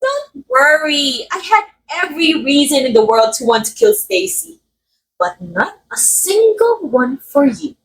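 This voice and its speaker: Roleplay lead: woman as a vain killer actress, high-voiced, unhurried, coyly condescending. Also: sing-song, a little hiss or hum, low-quality recording. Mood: teasing menace. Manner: theatrical, coy, condescending, drawn-out phrasing. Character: murderous method actress, vain, sweetly menacing, theatrical. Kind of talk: ASMR roleplay acting, addressing the listener.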